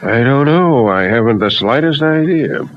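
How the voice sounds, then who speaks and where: An actor playing a calm, determined mentor and business partner, plain-spoken and paternal in an old radio soap. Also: male voice, mid-range voice, moderate pace, natural voice. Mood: resolute. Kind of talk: old-time radio soap opera acting. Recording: dull, noisy old radio recording.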